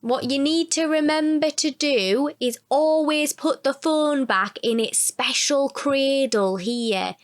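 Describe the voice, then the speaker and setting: A woman voicing a patronising young male telephone engineer in a short story, speaking loudly, slowly and over-carefully. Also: high voice, voice pushed up. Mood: patronising reassurance. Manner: louder, slower, over-careful delivery.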